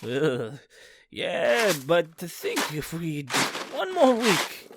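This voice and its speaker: A man's voice: on the high side, huge pitch swings, slow pace, background noise, full character voice. A man playing a scrappy, sly convict in a fantasy audio drama, with colloquial, flippant speech. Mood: flippant, weary. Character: scrappy, cheeky male convict, sly schemer. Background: music.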